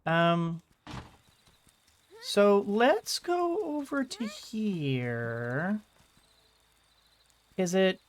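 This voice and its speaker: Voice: mid-range voice, sing-song, extremely slow, pauses often, a little hiss or hum. A game streamer giving casual commentary, rambling and thinking aloud while playing.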